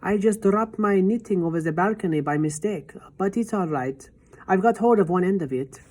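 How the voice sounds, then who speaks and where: A woman's voice: mid-range voice, moderate pace, voice pushed up, close to an everyday voice. A woman doing a cool, loving old grandmother. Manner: calm, cool, affectionate.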